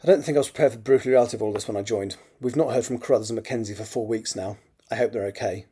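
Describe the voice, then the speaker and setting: A man's voice: on the low side, racing, warm tone, husky. A man voicing a candid, teasing non-binary character in a story. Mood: sombre worry.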